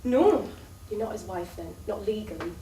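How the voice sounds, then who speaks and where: A woman's voice: mid-range voice, animated, normal speed, mellow, close to an everyday voice. An actress in a stage play playing a bitter, jealous woman who confronts her rival in a probing, accusatory way. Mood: bitter, pressing. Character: bitter, jealous live-in lover of an addict, confrontational. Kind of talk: theatrical stage play acting. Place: theatre stage, recorded from far off. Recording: distant echoey stage recording, faint noise.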